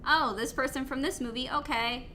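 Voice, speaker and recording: A woman's voice: high-pitched, fairly even intonation, normal speed, sharp tone, a little hiss or hum. A woman co-hosting a podcast in casual, conversational group chat. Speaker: podcast co-host.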